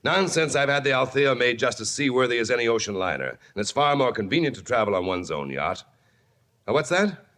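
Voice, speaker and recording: A man's voice: mid-range voice, big pitch swings, a little fast, a bit bright. An actor playing a middle-aged, wealthy, self-important businessman father in old-time radio style, breezy and offhand.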